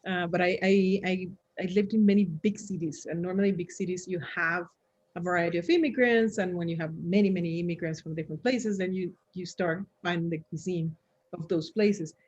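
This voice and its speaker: A middle-aged woman from Venezuela chatting casually in a group, expressive and thinking aloud as she goes. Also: on the low side, a little fast, husky, lo-fi sound. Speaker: session host.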